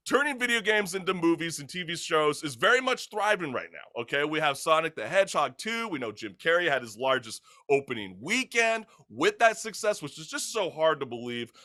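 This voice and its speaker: A young man hosting a casual talk show, chatting in an animated, slangy, joking way. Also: on the high side.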